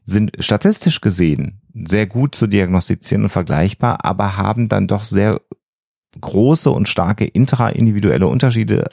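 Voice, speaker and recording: A man's voice: fairly low pitch, normal speed, gravelly, darkish tone, dry recording, clean studio sound. A man explaining things conversationally as a podcast co-host, often repeating words for emphasis.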